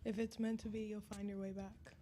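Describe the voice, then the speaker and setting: A twenty-year-old woman chatting casually as a podcast guest, picked up quietly off the mic. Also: mid-range voice, little pitch movement, brisk, a little hiss or hum. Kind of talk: casual chat.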